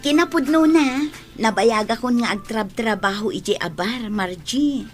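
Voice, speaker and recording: An actress playing a friendly young city barmaid in a radio drama, chatty and persuasive. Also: female voice, fairly high pitch, measured pace, soft background music, natural voice. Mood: reassuring. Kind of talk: Ilocano radio drama acting.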